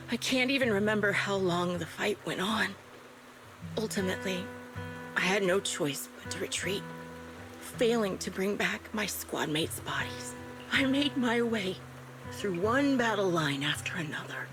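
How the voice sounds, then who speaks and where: An actress playing a haunted, vengeful ex-soldier woman in a video game, measured and brooding, coldly resigned as she speaks. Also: mid-range voice, close to an everyday voice. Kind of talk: scripted video game story acting.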